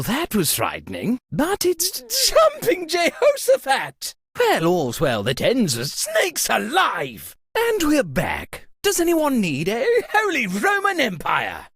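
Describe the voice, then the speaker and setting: A woman's voice: high-pitched, huge pitch swings, takes it slowly, hardly any pauses, husky, heavily acted. A woman voicing a fussy, easily scandalized upper-class party host in a video game, with a pompous, exclamatory delivery. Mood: flustered, startled. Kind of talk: theatrical scripted game voice lines.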